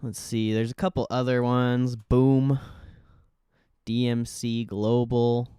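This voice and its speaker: Male streamer for casual live commentary; informal and slangy, slow delivery, dry close-miked sound. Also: mid-range voice, level tone, warm tone, clean tone, no echo. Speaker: livestream host.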